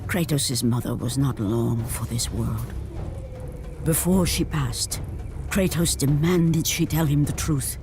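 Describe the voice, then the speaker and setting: An actor playing a grave storyteller of ancient myth, narrating a video game with solemn, portentous weight and pauses. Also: male voice, mid-range voice, steady tone. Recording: music behind the voice.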